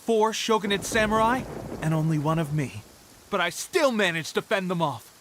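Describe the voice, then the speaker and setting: A man voicing an eager, boastful young resistance soldier in a video game, telling stories in an animated way. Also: high voice, moderate pace, noisy background. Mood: proud, boastful. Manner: animated, bragging storyteller. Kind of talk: English video game voice acting.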